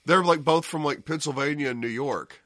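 A man's voice: fairly high pitch, moderate pace, no echo, studio quality. A man with a Southern US accent co-hosting a podcast, teasing and cursing in casual banter. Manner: teasing, profane, lively. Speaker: podcast co-host.

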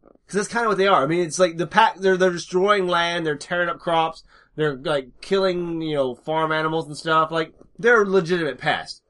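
A man's voice: on the high side, moderate pace, fairly bright tone. A man co-hosting a podcast in casual chat, rambling with many restarts and fillers. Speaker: podcast co-host.

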